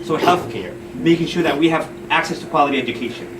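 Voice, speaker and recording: A man speaks informally to a gathering about politics, at a brisk pace and slightly high pitch. He repeats phrases to make his point. He sounds distant from the mic in an echoey space. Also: background noise. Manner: conversational, repeats phrases for emphasis. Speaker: community speaker and event host.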